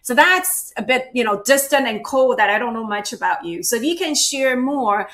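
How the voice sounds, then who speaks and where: A woman's voice: fairly high pitch, lively intonation, moderate pace, fairly bright tone. A woman giving a virtual workshop as a cultural awareness trainer, talking conversationally and checking in with the audience often. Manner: conversational, checks in with frequent "right?" and "you know". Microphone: video call.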